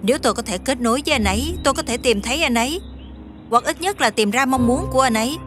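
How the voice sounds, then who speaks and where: Casting: actress as naive alien wish-granter, female, young, earnest and blunt, brisk delivery, music underneath. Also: high-pitched, animated. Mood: earnest, concerned. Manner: blunt, innocently literal. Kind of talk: dubbed fantasy film dialogue.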